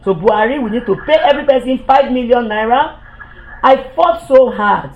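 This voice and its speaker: A woman's voice: a little high, normal speed, dull audio. A woman giving an impassioned political monologue, heated and accusatory, repeating herself and stumbling over restarts when agitated.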